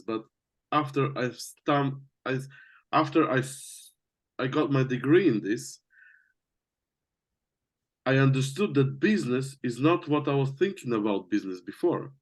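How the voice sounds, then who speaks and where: A young man with a medium-pitched voice and a Latvian accent speaks in a casual conversation. His native languages are Latvian and Russian. He is hesitant, often pausing and repeating himself as he looks for the right word. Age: young adult. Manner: hesitant, searching for words. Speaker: business coach.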